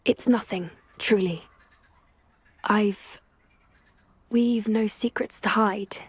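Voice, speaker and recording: A woman's voice: medium pitch, steady tone, slow pace, slightly rough, no echo. A woman voicing an earnest, anxious teenage witch in a video game, polite and a little hesitant. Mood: uneasy hope. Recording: music behind the voice. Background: music.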